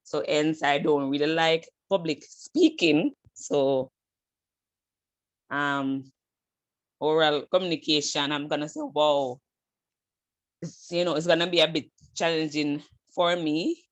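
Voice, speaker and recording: A woman's voice: low voice, fairly even intonation, slow, pauses often, no room sound, rough recording. A woman student who stutters, introducing herself hesitantly over her phone with many fillers and restarts. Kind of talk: self-introduction in class.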